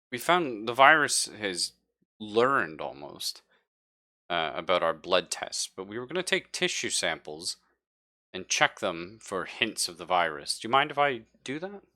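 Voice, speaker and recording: A man playing a clinical, cagey doctor in a tabletop sci-fi horror game, speaking in a hesitant, measured way. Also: on the low side, pushed and bright, no echo, studio quality, exaggerated voice. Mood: cautiously probing. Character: clinical, cagey doctor studying a virus outbreak.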